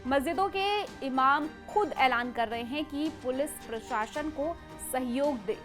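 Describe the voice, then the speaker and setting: A woman's voice: high voice, measured pace, music in the background. A woman presenting scripted news in an emphatic, dramatic way. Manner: emphatic, dramatic delivery with rhetorical questions.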